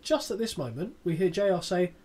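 Dry sarcastic podcast host. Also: male voice, sits high, very animated, fairly quick, dark tone, slightly rough. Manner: dry, sarcastic, swears casually. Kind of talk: casual recap chat, reading a match summary.

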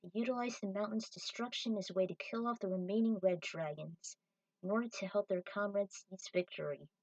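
A woman's voice: mid-range voice, a little fast, darkish tone, low-quality recording. A woman reading her own fan fiction aloud as a scripted story narration.

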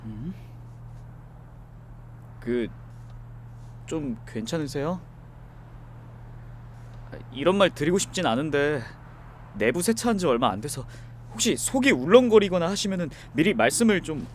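Kind young driver, soft and comforting, voiced by a man, higher voice, very lively pitch. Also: a little slow, long pauses, slight background noise. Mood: gentle, comforting. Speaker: voice actor in an audio drama. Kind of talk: ASMR audio drama acting.

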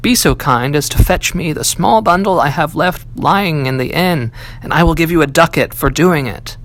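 A man's voice: on the high side, normal speed, fairly bright tone, close to an everyday voice. A man voicing a bitter, commanding soldier in a storybook fairy tale. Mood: requesting.

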